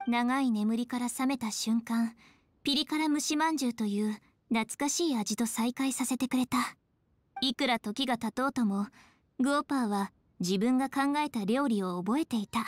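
Woman as a high-voiced, poised young lady. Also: moderate pace, dry recording, natural voice. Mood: admiring. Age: young adult. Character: poised, sincere young woman official, warmly appreciative. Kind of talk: Japanese game voice acting.

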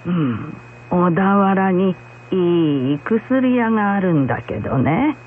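Frank, caring old village woman played by an actress, slow and melodic, for radio drama; dull hissy recording. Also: lowish voice, animated. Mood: coaxing. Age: older. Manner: unhurried, gently admonishing. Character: kindly, frank old blind woman acupuncturist. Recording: muffled radio recording with hum, faint noise.

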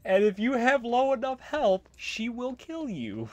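A man's voice: very high voice, wildly swooping pitch, a little slow. A man giving casual commentary as he plays through a video game. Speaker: Let's Play commentator. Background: game music.

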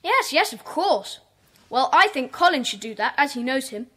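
A woman's voice: high voice, very animated, moderate pace, pushed and bright, full character voice. A woman playing a sensible, bossy schoolboy club leader in a children's audio drama, decisive and orderly.

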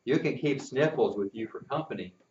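An older man reading a storybook aloud, voicing a lively, teasing boy camper in a playful drawl. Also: mid-range voice, brisk, roomy sound, distant mic, dull audio, natural voice.

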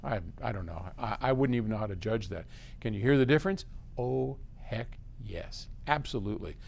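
A man's voice: fairly low pitch, expressive, fairly quick, gravelly, a little mellow. An American man in his seventies giving a casual explanation to camera in an informal, emphatic, conversational way. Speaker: company chief answering viewer questions. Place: large open office lobby, indoors.

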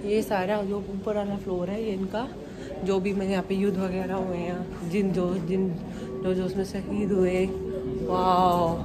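A woman's voice: mid-range voice, level tone, a little slow, over loud music. A young Indian woman filming herself on a phone at arm's length, chatting to viewers as she walks through large museum halls. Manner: chatty, addresses viewers directly. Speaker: travel vlogger. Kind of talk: casual walking tour vlog. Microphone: handheld phone at arm's length. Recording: noisy phone recording in a busy museum.

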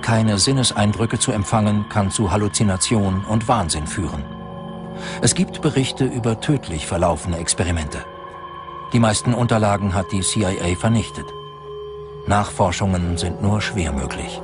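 A man's voice: lowish voice, normal speed. A man reading scripted documentary narration as the narrator. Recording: loud music under the voice. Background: music.

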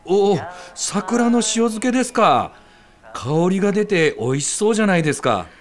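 A man's voice: high voice, big pitch swings, moderate pace. A man playing an appreciative regular at a ramen stall in a radio skit, speaking politely and earnestly. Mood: eager.

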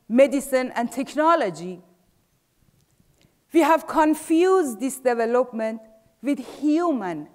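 Iranian-accented woman giving a stage talk. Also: on the high side. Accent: Persian. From Iran. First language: Farsi. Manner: measured, trailing off softly at phrase ends. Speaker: speaker. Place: large dark theater stage. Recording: theater with long reverb.

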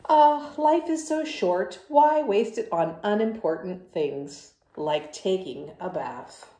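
A stubborn, melodramatic storybook pigeon , acted out by a woman reader, emphatic and childishly argumentative. The pitch jumps up and swoops, and the words ring in an echoey room. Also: animated, takes it slowly, dark tone. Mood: indignant. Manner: emphatic, exclaiming, protesting. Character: dirty, stubborn, dramatic cartoon pigeon who argues like a child.

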